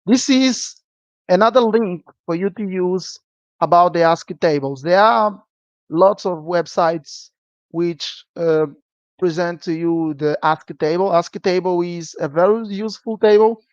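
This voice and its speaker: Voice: medium pitch, measured pace, no room sound. Teacher, dull dry recording. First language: Portuguese. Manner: checks in with a frequent "okay?". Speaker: programming teacher. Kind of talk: live-streamed lesson.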